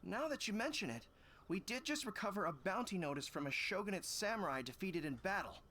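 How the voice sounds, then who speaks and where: Composed, formal army general. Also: male voice, high voice, very animated, sharp tone, slight background noise, full character voice. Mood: courteous. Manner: measured, formal, authoritative.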